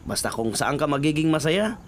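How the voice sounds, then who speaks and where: A man's voice: fairly high pitch, animated, a little fast, a little hiss or hum, natural voice. An actor playing a supportive, easygoing young male friend in a Filipino radio drama, talking in a casual, chummy way.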